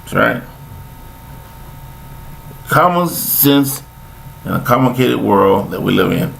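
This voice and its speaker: A man's voice: mid-range voice, expressive, slow, vocal fry, a bit bright, a little hiss or hum, low-quality recording. An older man, talking in a rambling, preachy monologue, repeating himself with folksy sayings. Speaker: vlogger giving life commentary.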